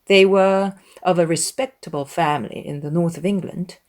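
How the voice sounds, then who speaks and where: A woman's voice: fairly low pitch, moderate pace, darkish tone. A woman reading scripted literary narration as an audiobook narrator.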